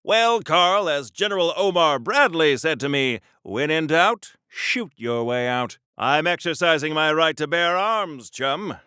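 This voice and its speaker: A man's voice: on the high side, measured pace, few pauses, sharp tone, smooth, dry recording, studio quality. A man voicing a wholesome, pompous 1940s patriotic superhero for a video game, with earnest, hammy delivery and quaint old-fashioned exclamations. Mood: chipper, folksy.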